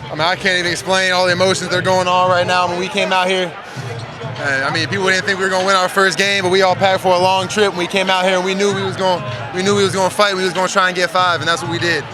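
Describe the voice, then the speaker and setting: A young man, a college basketball player, giving emotional, halting answers in a postgame interview with lots of fillers. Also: high-pitched, level tone. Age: young adult. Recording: noisy celebration crowd and music behind.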